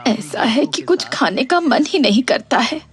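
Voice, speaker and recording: A woman voicing a frail, meek, sick elderly mother in a cartoon, with a gentle plaintive manner. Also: high-pitched, animated, unhurried, faint music behind. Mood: meek, ailing. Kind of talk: Hindi moral-story cartoon dubbing.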